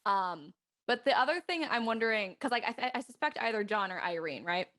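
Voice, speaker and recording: A woman's voice: a little high, expressive, brisk, a bit bright. A woman chatting casually as a panel guest, thinking theories through in slangy speech. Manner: slangy, speculative. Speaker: cast member on a panel. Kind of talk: casual chat.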